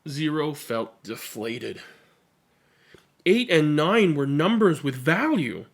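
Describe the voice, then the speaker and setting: A host reading a picture book aloud with animated narration and chatting casually about it. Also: medium pitch, takes it slowly.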